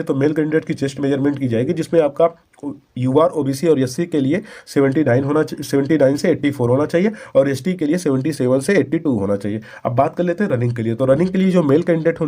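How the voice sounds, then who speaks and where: A man's voice: on the high side, mellow. A man with a North Indian Hindi accent giving informational updates as a channel host, explaining step by step.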